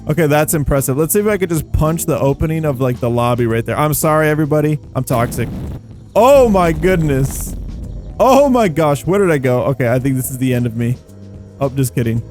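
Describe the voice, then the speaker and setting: An excitable man with a somewhat high-pitched voice hosts a casual gameplay video. He talks in loose slang, rambling and doubling back on phrases as he thinks aloud. Light music plays behind his commentary. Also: a little fast. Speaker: video game YouTuber and host. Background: background music.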